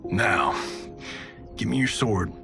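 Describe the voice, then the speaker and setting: A wry, casual hero in a game cutscene. His mid-pitched voice moves expressively at an unhurried pace, level-headed and protective, over background music. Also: animated, gravelly, exaggerated voice. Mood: firm. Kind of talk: video game cutscene acting.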